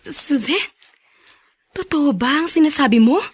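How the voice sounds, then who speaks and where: A woman playing an earnest, caring young farm worker in a radio drama, with a Filipino accent and an expressive delivery. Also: high-pitched, a little slow. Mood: gentle. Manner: emotional, expressive. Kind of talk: Tagalog radio drama acting.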